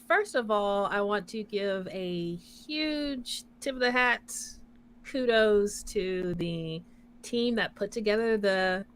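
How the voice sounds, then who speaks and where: A woman with a soft voice co-hosts a casual podcast. She talks in a chatty, qualifying way, sprinkling in "like" and "you know" as she goes. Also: a little high, smooth. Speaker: podcast co-host. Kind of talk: casual chat.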